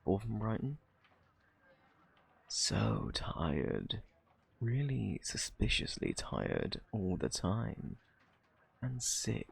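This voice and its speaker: A man with a British accent playing a smug, flirty, cunning thief in an ASMR roleplay, with a husky, gravelly voice and a teasing, mock-innocent drawl. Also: on the low side, wildly swooping pitch, very slow, long pauses, full character voice. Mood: taunting, insinuating.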